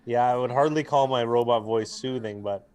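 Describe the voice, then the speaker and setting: A young man with a booming voice, co-hosting a live football watch stream in rambling casual chat, mic clipped to his jacket. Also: moderate pace, darkish tone. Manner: rambling, talkative, full of restarts and fillers. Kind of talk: casual chat and game commentary. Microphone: jacket used as mic mount.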